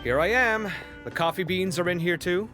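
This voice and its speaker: A man playing a courteous, friendly young gentleman in a video game, expressive. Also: high voice, moderate pace, natural voice. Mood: hurried, cheery. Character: polite, friendly young gentleman, former student. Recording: game music underneath.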